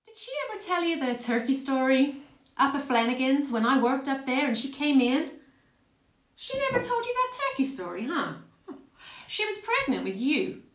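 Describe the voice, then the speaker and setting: A woman's voice: high voice, sing-song, normal speed. A woman playing a blunt, chatty older working-class woman reminiscing fondly in a dramatic monologue. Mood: amused storytelling. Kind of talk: dramatic stage monologue, conversational storytelling. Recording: roomy, echoing space.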